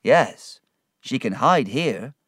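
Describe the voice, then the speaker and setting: A man's voice: mid-range voice, expressive, takes it slowly, fairly bright tone, husky, no echo, studio quality, pitched above the performer's own voice, exaggerated voice. A man voicing an anxious, devoted family man in an audiobook, impassioned and exclaiming. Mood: resolute. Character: anxious, devoted uncle-like man searching for lost Emily. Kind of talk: audiobook character acting.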